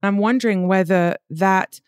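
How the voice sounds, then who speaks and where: A woman's voice: mid-range voice, measured pace, clear, resonant tone, no room sound, studio quality. A woman interviewing a guest, asking attentive, probing questions. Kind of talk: conversational interview.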